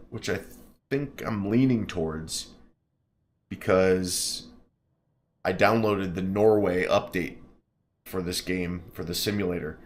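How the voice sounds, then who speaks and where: A man's voice: low-pitched, slight background noise. A man streaming a flight simulator, chatting casually and reading game text aloud with jokes and stumbles over place names.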